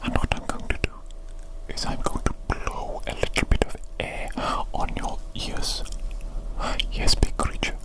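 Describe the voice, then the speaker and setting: A woman whispering softly and breathily in an ASMR medical roleplay as a doctor, soothing and repeating short phrases. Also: unhurried. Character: evil doctor tending a big creature.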